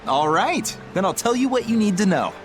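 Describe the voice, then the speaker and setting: A man's voice: sits high, sing-song, moderate pace, over music. An actor playing a friendly young male pirate in a game, eagerly explaining things. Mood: upbeat. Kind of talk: animated game voice acting.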